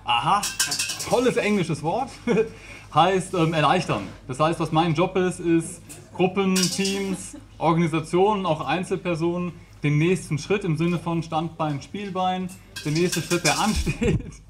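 A man's voice: sits high, unhurried, slight background noise. A man in his thirties from the Rhineland, giving a chatty, informal talk to an audience with a handheld mic in a small brick-walled room. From Rhineland, Germany. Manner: chatty, laughs often, gestures.

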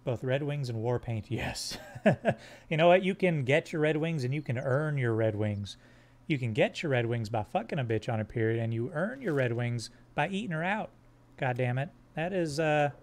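A man streaming a game live, joking crudely with his chat in a fairly monotone voice while sorting his squad's gear. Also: on the high side. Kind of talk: live gameplay commentary.

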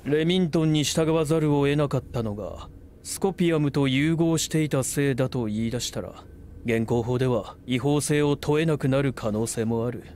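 Man voicing a measured senior officer. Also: mid-range voice, a little mellow, a little hiss or hum, lightly acted. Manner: measured, authoritative, formal.

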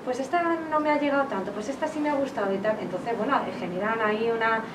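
A woman's voice: fairly high pitch, quick pace. A woman in her forties from La Mancha with a Castilian Spanish accent, chatting to an audience as a nurse and author, speaking through a face mask. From Valdepeñas, Castile-La Mancha, Spain. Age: 40s. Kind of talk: conversational public talk with anecdotes. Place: indoors, public library reading area. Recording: echoey room, distant microphone, clear background noise.

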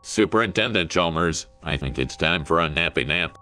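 A man's voice: deep voice, lively intonation, pushed and bright, soft background music. A man voicing a sinister, scheming male school principal with a harsh but wet voice, speaking ominously. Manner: ominous, slow and menacing.

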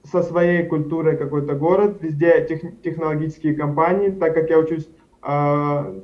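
A young man speaks over a video call from a room at home, his voice a little higher than most men's. The audio is muffled and lo-fi, like a webcam feed. He answers in a loose, rambling way, with lots of fillers and self-corrections. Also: steady tone, normal speed. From Karachay-Cherkessia, Russia. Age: young adult. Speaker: studio guest. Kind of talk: casual interview answers. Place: indoors at home, plain wall.

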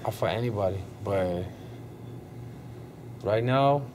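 A young man from Sweden, a boxer, giving short, relaxed answers in a casual interview inside a hotel hall. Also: fairly low pitch, slow. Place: hotel event hall indoors. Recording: noticeable background noise.

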